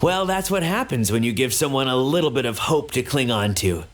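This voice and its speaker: A man acts a gentle, easygoing chef in a video game. His mid-pitched voice moves in a lively way and sounds reassuring over faint music. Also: fairly quick, close to an everyday voice. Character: gentle, good-natured male chef, recovering. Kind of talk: English video game voice acting.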